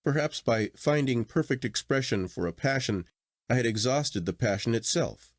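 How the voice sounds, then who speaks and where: A man reading literary fiction aloud as an audiobook narrator, in an expressive, dramatic manner. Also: mid-range voice, moderate pace, dry recording, studio quality.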